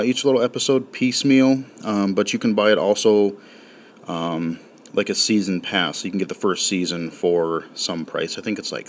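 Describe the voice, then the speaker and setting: A man gives a relaxed, rambling demonstration of an app, full of fillers and restarts. His voice sits on the low side. He sounds informal and unscripted. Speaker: game reviewer. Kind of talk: casual spoken app walkthrough.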